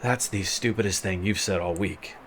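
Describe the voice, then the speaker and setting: A weary male constable with a lowish voice, played by a male storyteller in a fantasy audio drama. He broods and speaks tersely, with dry sarcasm, over faint background noise. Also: moderate pace, a bit bright.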